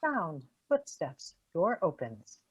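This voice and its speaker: A woman's voice: low voice, big pitch swings, slow pace, husky, dry recording, lo-fi sound. A woman reading out script sound cues in short, clipped phrases. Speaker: sound-effects reader. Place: remote video call performance.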